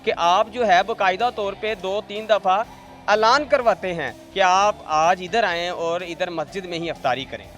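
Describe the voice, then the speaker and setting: A man's voice: sits high, normal speed, fairly bright tone, faint music behind. A man with a Pakistani accent interviewing as a news reporter on location, asking long, repetitive questions. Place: on location at a mosque.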